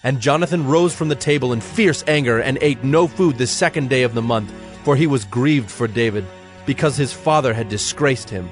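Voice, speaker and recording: A man's voice: medium pitch, normal speed, faint music behind. A man narrating a dramatized Bible story from a script.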